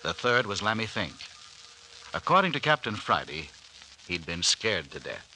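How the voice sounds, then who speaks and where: A man's voice: on the low side, very animated, normal speed, bright, forceful tone, a little hiss or hum, poor audio. A man narrating in a formal, dramatic old-time radio announcer style. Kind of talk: 1930s-40s radio announcing, scripted narration.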